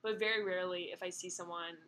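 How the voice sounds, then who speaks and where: Give me a chatty young woman host with a bright, clear voice. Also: fast, a little hiss or hum. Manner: chatty, lots of "like", lively swings in pitch. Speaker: podcast host. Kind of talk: casual chat.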